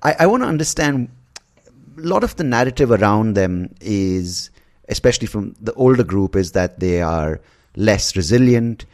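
Man in his forties, very deep voice, interviewer, hesitant with many ums. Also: big pitch swings, a little mellow. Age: 40s. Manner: halting, many fillers. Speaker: podcast host. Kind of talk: interview questions.

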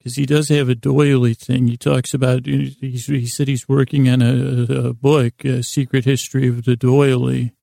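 A man with a very creaky, mellow voice rambles through an improvised bedtime monologue. He mumbles in a lulling, soothing way, halting and drifting mid-thought. The recording is very dry, with faint music underneath. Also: medium pitch, little pitch movement, dry recording. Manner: halting, meandering, often trailing off mid-thought. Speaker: host of a bedtime story podcast.

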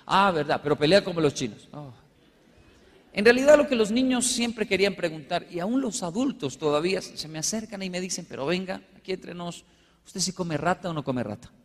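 A man from Colombia with a Colombian accent, giving a humorous storytelling talk as a motivational speaker, acting out little dialogues in an animated manner. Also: sits high, fairly quick, long reverb tail. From Colombia, with Japanese background. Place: large hall with live audience.

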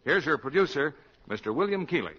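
A man's voice: fairly high pitch, big pitch swings, fairly quick, slightly rough, dry recording. A man making formal station announcements in the style of 1940s radio. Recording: very noisy, dull 1940s radio recording.

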